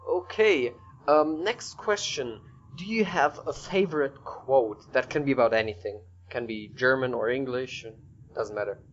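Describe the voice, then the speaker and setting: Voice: mid-range voice, measured pace, slight background noise. A podcast host running a casual interview, informal in asking questions and responding. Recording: dull, lo-fi sound.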